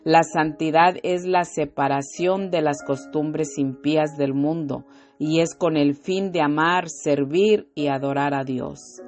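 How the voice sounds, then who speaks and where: A woman preaching a devotional sermon and reading scripture, slow and fervent, with frequent hallelujahs and amens, her throat a little irritated. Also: low voice, a little mellow.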